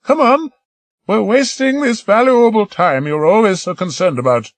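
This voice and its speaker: Male narrator doing an elderly grande dame, spry, quick-witted, amused; pitched well above a deep voice, very dry sound. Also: no echo. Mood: brisk teasing. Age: older. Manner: brisk, sharp-tongued, amused. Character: spry, teasing elderly woman, grand old stage star.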